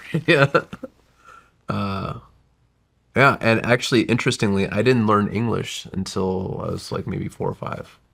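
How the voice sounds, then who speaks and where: A man in his forties whose first language was Gujarati talks as a podcast guest. His voice sits low and carries a gravelly rasp. He tells his story in a reflective, winding manner and keeps correcting himself. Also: a bit bright. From United States. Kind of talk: casual interview storytelling.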